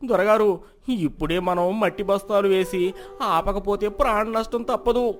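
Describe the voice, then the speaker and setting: A man's voice: high voice, fairly even intonation, moderate pace, voice pushed up, natural voice. A man playing an alarmed, humble farmer, pleading urgently and breathlessly in a folk tale. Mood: panicked. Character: alarmed, humble male farmer reporting disaster. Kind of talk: dramatized Telugu folk moral tale. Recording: music behind the voice.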